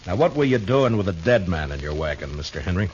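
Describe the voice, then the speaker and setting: Low-voiced frontier marshal, vintage radio. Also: male voice, big pitch swings, fast talker, darkish tone, slight background noise. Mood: businesslike. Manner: terse, matter-of-fact. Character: steady, plain-spoken frontier marshal, firm and dry. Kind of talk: 1950s radio western acting and first-person narration. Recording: dull vintage radio recording.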